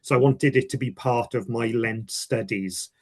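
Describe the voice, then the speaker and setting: A man's voice: mid-range voice, normal speed. A man from Wales with a Welsh accent, a communications professional giving an informal first-hand account in a reflective way, repeating and correcting himself. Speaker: communications director giving a testimonial.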